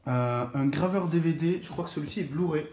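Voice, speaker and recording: A man's voice: fairly high pitch, fairly quick, a little mellow. A young man chatting casually as he unboxes a laptop, hesitant and full of fillers and restarts. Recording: echoey room with faint noise.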